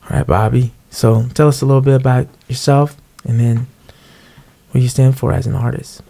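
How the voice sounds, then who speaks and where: A man's voice: medium pitch, fairly even intonation, moderate pace, vocal fry, warm tone. A man hosting a podcast in a casual, conversational way. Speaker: podcast host, music artist.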